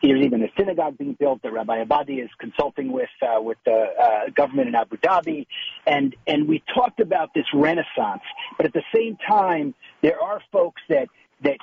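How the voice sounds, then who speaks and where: A man's voice: on the high side, vocal fry, slightly rough, no echo. A male talk show host who frames long questions for a guest and often restarts his sentences. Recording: tinny phone-line sound.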